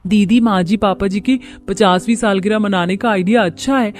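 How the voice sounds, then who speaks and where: A woman voicing an eager, competitive young daughter-in-law in animated story dialogue. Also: mid-range voice, moderate pace, lightly acted. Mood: earnest.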